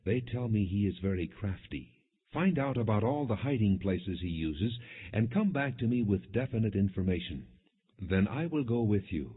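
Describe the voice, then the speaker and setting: A man narrating scripture from a script in a measured, solemn manner, with a husky voice. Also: lowish voice, lively intonation. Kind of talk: scripted narration, non-dramatized Bible reading. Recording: muffled, phone-like narrow band.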